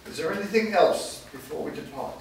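A man's voice: a little high, brisk, vocal fry. A man reading the part of a dutiful male elder who reports to his master in a staged script reading. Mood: respectful. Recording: echoey room from far off, background noise.

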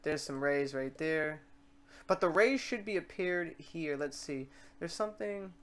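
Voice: medium pitch, lively intonation, unhurried. Informal tutorial host thinking aloud. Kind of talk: casual software tutorial, talking through steps. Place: voice-over on a screen recording.

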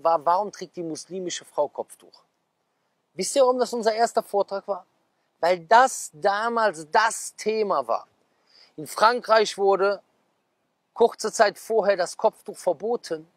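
A man in his thirties speaks outdoors at a garden table, his voice sitting high for a man and recorded dry and close on a clip-on mic. He reads headlines aloud and then bursts into quick, gesturing asides, tossing rhetorical questions at his audience. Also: a bit bright, no echo. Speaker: preacher. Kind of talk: casual talk, reading out magazine headlines.

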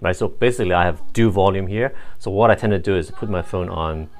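A man giving a casual, unscripted hands-on product review in a halting, think-aloud manner. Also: sits low.

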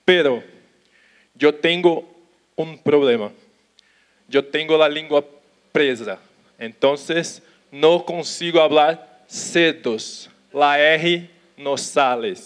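A young man from Brazil with a Portuguese accent, giving a conference talk in halting Spanish on an auditorium stage, talking slowly with restarts. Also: a little high. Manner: slow, careful, with restarts and Portuguese words. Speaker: conference speaker. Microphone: handheld mic.

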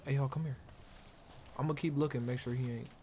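An American man in a street-crime roleplay game gives orders in heavy slang, often repeating himself. His pitch is middling for a man, and music plays behind him. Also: fairly even intonation, a little fast. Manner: slangy, repetitive, bossy. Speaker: streamer playing a roleplay gang leader. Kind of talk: improvised in-character street roleplay chat.

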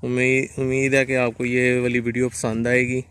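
A man's voice: mid-range voice, monotone, normal speed, fairly bright tone, clean tone. A man with a Pakistani Punjabi accent narrating a casual vlog outdoors on a farm field and chatting with a farmer. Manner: chatty, friendly questioning. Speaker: vlogger.

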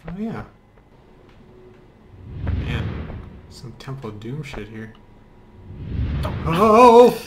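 Guy in a group game, talks slow but super expressive, lots of ohs, bit of hiss and clicking. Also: mid-range voice. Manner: playful, exclaiming. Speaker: gamer in a group let's play. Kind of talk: casual chat while playing a video game.

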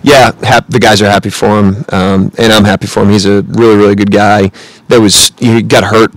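A man in his thirties, a head coach answering questions at a post-game press conference, measured and analytical with frequent fillers, speaking into a close table mic. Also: lowish voice, level tone, no room sound, poor audio. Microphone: table mic close in front.

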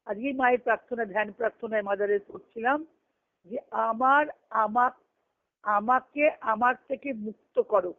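A woman giving an earnest, emphatic devotional discourse over a conference phone line, reciting scripture and verse and pausing between short phrases. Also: a little high. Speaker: spiritual discourse speaker. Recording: tinny band-limited conference phone call.

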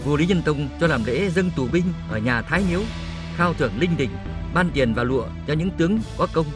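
A man's voice: fairly high pitch, expressive, a little fast, music in the background. A man narrating scripted history formally.

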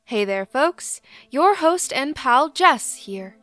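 A woman's voice: high-pitched, very animated, takes it slowly, a bit bright, no echo, soft background music, heavily acted. A woman playing a folksy, cryptic small-town radio host, reading a scripted late-night promo with a teasingly ominous manner. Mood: folksy welcome. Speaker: host of a fictional community radio show.

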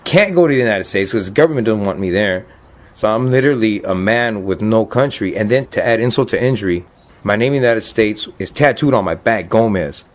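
A man in his fifties with a somewhat low voice gives a documentary interview. He talks in a plain, earnest way and lets real emotion show without dressing it up. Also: lively intonation, brisk. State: choked up. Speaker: deported veteran telling his story.

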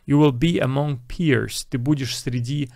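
A man's voice: mid-range voice, unhurried, vocal fry, darkish tone, dry recording, clean studio sound. A young man, an English teacher, casually explaining film lines and repeating English phrases.

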